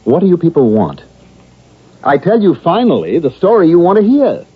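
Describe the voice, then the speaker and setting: Casting: emotional, evasive accused killer in psychiatric care, vintage radio drama; husky, widely swinging pitch. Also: male voice, huge pitch swings, normal speed, exaggerated voice. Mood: exasperated. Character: distraught accused killer, weary defiant psychiatric patient. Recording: muffled phone-like old radio recording.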